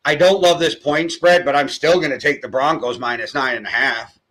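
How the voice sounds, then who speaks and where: An American man co-hosting a sports podcast, telling stories and giving picks in a relaxed, hedging way. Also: on the high side, fairly quick. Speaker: podcast co-host. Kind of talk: casual sports chat.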